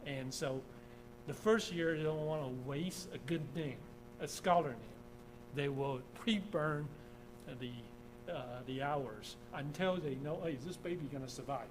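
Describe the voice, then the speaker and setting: A man's voice: on the high side, unhurried. Man from taiwan teaching a class, keeps saying okay and uh, humming noise in the background. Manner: informal, repeats "okay?", many "uh" fillers. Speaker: engineering professor. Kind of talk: classroom lecture over slides. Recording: steady background hum.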